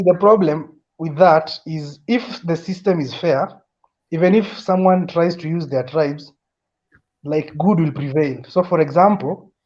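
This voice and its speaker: A man's voice: a little high, darkish tone. A young Kenyan man with a Kenyan accent, calling into a discussion and arguing earnestly, often restarting his sentences. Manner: earnest, repeats and restarts while building an argument. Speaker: caller in a discussion. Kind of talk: casual opinion chat. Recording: dull lo-fi audio.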